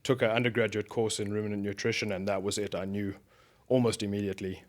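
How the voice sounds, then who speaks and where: South African man, low voice. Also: flat delivery, fast talker. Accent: South African, softened by years in America. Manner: thoughtful, explains at length with frequent fillers. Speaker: guest, beef research director. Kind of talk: casual podcast interview.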